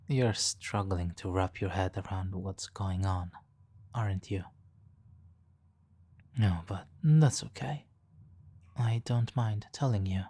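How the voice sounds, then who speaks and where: A man playing a smug, flirtatious supervillain in an ASMR roleplay, teasing and mock-polite, with savoring pauses. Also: deep voice, mellow, no echo. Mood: smug, gloating. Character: smug, flirtatious male supervillain toying with a captured hero. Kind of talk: ASMR roleplay, slow intimate monologue. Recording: faint background noise.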